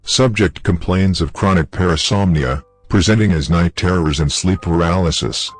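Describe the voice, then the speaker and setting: A man playing a detached clinical researcher, reading a formal case file in measured horror-story narration. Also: deep voice, dry recording, soft background music. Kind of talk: scripted horror audio narration, formal report.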